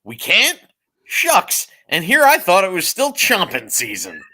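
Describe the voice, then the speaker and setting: A woman playing an excitable, childlike cartoon character in a live table read. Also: fairly low pitch, unhurried, husky, heavily acted. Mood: surprised.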